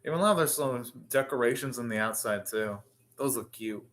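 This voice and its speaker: Guy doing a live anime reaction, average pitch, casual rambling commentary, thinking out loud. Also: moderate pace.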